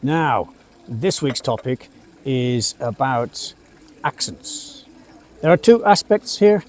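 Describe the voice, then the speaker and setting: A man's voice: medium pitch, very animated, slow pace, a little mellow. A middle-aged man from England's West Midlands with a British English accent, an English teacher giving a casual spoken lesson on a café terrace, chatty with frequent uhs and asides.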